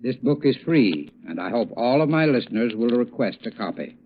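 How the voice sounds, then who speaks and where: A man giving a scripted 1930s radio sponsor talk as an expert, formal, measured and instructive. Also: medium pitch, very animated. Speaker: heating expert, sponsor's spokesman. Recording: thin, phone-like old radio with hiss.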